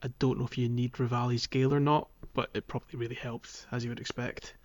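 A man's voice: mid-range voice, level tone, fast. A man hosting a gaming podcast in casual, informal conversation. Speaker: podcast host. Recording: dull, lo-fi voice recording.

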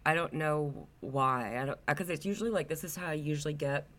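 A woman's voice: low voice, fairly quick, a bit bright. A woman co-hosting a casual podcast, her voice scratchy from allergies, chatting along and agreeing often. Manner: chatty, agreeable, backchannels a lot. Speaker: podcast co-host.

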